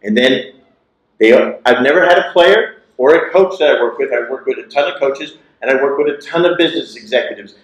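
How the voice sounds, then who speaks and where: A man's voice: mid-range voice, a little fast. Older guy giving a keynote in a big lecture hall, punchy and repetitive, lots of room echo. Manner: emphatic, animated gesturing, repeats key phrases. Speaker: keynote speaker. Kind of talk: motivational keynote speech. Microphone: lapel mic.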